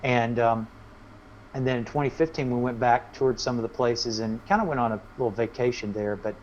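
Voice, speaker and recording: A man with a medium-high voice talks casually as a musician being interviewed, explaining himself in a loose, rambling way. The recording is muffled and lo-fi, with faint noise in it. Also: fairly even intonation, brisk, a little mellow.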